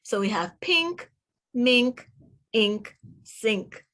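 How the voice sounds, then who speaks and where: A woman reading aloud to young children as a teacher, pronouncing words deliberately one by one and putting questions to the listener. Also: on the high side, expressive, fairly bright tone. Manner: deliberate word-by-word enunciation, asks the listener questions. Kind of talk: read-aloud phonics lesson for children.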